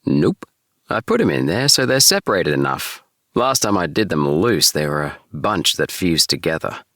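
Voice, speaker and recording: A man reading an audiobook, voicing a gentle, mischievous forty-year-old man who talks mildly and a little hesitantly. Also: low-pitched, sing-song, normal speed, dry recording, studio quality, heavily acted.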